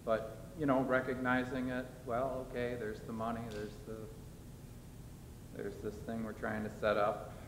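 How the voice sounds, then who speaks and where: Man, farmer panelist, low flat delivery, rambling with fillers, echoey hall with noise. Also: normal speed. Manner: rambling, many fillers and restarts. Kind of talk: panel discussion answer. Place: large hall, panel event.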